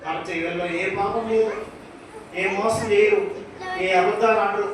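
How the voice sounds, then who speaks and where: A man's voice: high voice, steady tone, normal speed, far from the mic. A man presenting a children's church puppet show, speaking as a patient, correcting teacher and ventriloquist. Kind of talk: live children's puppet show, playful preaching. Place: large church hall with audience. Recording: echoey hall from afar, loud crowd noise.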